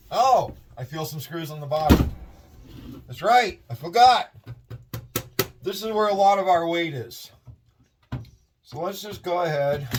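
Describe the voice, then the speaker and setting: A middle-aged man with a Massachusetts accent, chatting in a rambling, upbeat way while taking apart scrap in a shed at night. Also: fairly high pitch. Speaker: scrapping video host. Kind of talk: casual hands-on narration. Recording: distant mic, faint noise.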